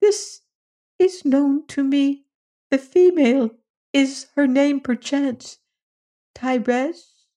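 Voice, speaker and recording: A woman plays a tiny, desperate alien in a storybook reading, his voice thin and squeaky, almost a whimper. He pleads in stiff, over-formal phrases, pitched far above a normal speaking voice. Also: fairly even intonation, slow pace, long pauses, mellow. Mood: pleading. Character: small pitiful male squirrel-like alien, humble and desperate. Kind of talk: audiobook character voice.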